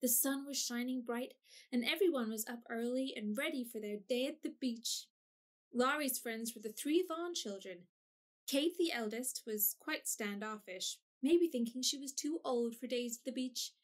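A woman narrating an audio drama in a clear, measured storytelling manner. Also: fairly high pitch. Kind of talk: scripted narration.

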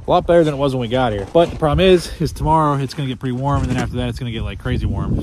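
A man in his thirties filming his ice-fishing trip in a tent on a frozen lake, talking casually and excitedly with plenty of slang and swearing, recorded on a handheld action camera. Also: medium pitch. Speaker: fishing vlogger. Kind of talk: casual outdoor vlog. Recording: noisy outdoor camera audio.

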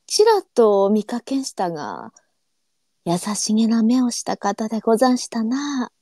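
Woman doing sad gentle old-japan courtesan, soft courtesan dialect, kinda high voice, demure period drama thing. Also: sing-song, normal speed, no echo, studio quality. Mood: wistful, lonely. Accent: courtesan arinsu dialect. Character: melancholy, tender-hearted adult courtesan of old Japan. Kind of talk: online live voice drama acting.

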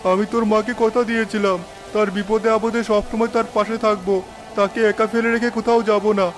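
A man as a high-pitched devoted fisherman father. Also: little pitch movement, brisk, soft background music, lightly acted. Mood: desperate. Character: devoted village fisherman father, determined and protective. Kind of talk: dramatic Bengali animated story acting. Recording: faint rain and storm ambience.

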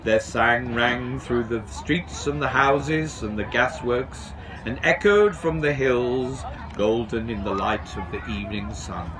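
Slow, expressive man reading a storybook. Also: medium pitch, a crowd in the background, rough recording. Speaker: storybook reader. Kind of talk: scripted children's story narration read aloud. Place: outdoors on a garden bench at a railway.

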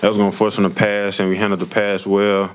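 A man's voice: lowish voice, monotone, fast talker, clean tone. A man in his early twenties, a college football player giving plain-spoken, modest answers at a press conference. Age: early 20s. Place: indoor press conference room. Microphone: tabletop microphone. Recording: noisy press room.

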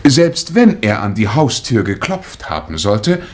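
An actor playing a brisk, plain-spoken husband explaining himself in a 1960s German radio crime drama. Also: male voice, fairly low pitch, very animated. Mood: reasoning. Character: brisk, plain-spoken married man, lost motorist.

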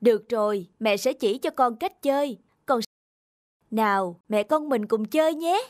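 An actress playing a gentle, patient young mother in a children's cartoon, tender and encouraging. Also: fairly high pitch, a little slow, dry recording, studio quality, natural voice. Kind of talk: Vietnamese children's cartoon dubbing.

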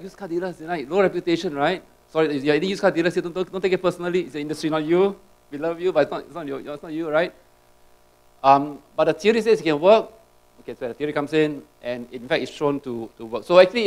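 A man's voice: a little high, rough recording. A man giving a conference talk in a large auditorium, peppering his points with "right?" and restarting his sentences. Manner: rapid, tag questions, frequent restarts. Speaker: conference speaker.

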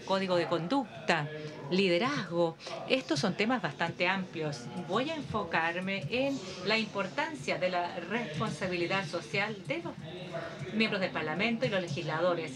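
A woman giving a simultaneous interpretation of a formal conference speech in a steady, measured delivery with occasional hesitations. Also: medium pitch, a crowd in the background. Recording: audience murmur beneath the voice.

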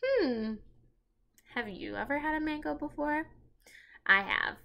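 Bright, animated young woman reading to children. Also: sits high, unhurried, gravelly. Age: young adult. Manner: animated, addresses young listeners as friends. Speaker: storyteller reading a children's book aloud. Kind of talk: expressive read-aloud for children. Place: small furnished room at home.

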